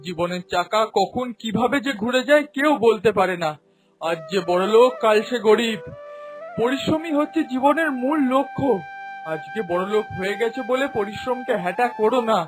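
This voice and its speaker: A man's voice: very high voice, expressive, normal speed, over music, poor audio. A man voicing an earnest, anxious husband in a cartoon fairy tale, pleading and moralizing with emphasis. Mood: earnest preaching.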